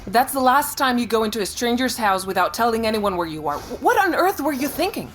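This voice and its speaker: An actress playing a blunt, protective woman who scolds in an exasperated, brisk manner in an audio drama. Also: fairly high pitch, very animated, slight background noise. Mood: exasperated, scolding. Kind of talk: naturalistic audio drama dialogue.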